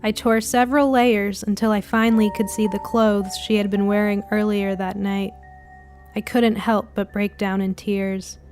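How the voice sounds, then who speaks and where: A woman's voice: medium pitch, flat delivery, normal speed, darkish tone. Woman telling a scary halloween story, scripted narration, some music behind it. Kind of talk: scripted first-person spooky story narration.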